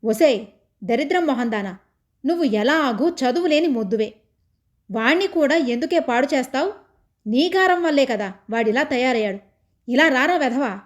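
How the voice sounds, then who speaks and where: A woman voicing a furious, bullying middle-aged father who shouts, sneers and hurls abuse at his family. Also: high voice, expressive, normal speed, pauses often.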